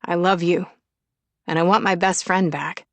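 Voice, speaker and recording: A woman's voice: on the low side, fairly even intonation, a little slow, no echo, close to an everyday voice. A woman voicing a devoted young firefighter husband in an audiobook, earnest and tender.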